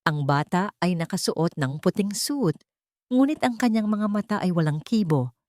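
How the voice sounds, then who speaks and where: A woman's voice: fairly low pitch, animated, normal speed, a little mellow, dry recording, studio quality. A woman narrator reading a scripted story in a dramatic, literary manner.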